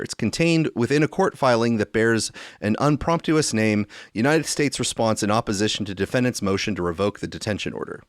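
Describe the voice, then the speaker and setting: A man's voice: on the low side, no room sound, studio quality. A man hosting a podcast, chatting and reading news stories aloud. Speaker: podcast host.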